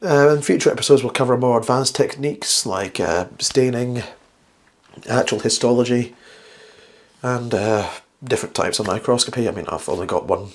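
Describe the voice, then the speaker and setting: A man with a slightly croaky, flu-worn voice hosts a casual instructional science vlog, chatty and rambling with wry, self-deprecating asides. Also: medium pitch, normal speed. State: getting over the flu. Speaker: host of a science video series.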